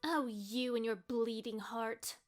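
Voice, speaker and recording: A woman's voice: on the high side, fairly even intonation, slow, faint music behind. A woman playing a haughty, domineering young female dragon, imperious and scornful, in a fantasy audio drama. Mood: scolding.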